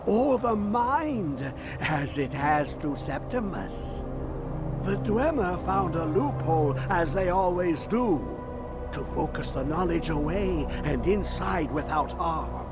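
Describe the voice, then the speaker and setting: Crazed old hermit scholar, game character, high swooping voice, theatrical riddler, halting delivery. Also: male voice, animated, over loud music. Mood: cryptic, instructing. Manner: theatrical, halting, refers to self in third person. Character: mad, eccentric old male scholar, riddling and gleeful. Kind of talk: video game character acting.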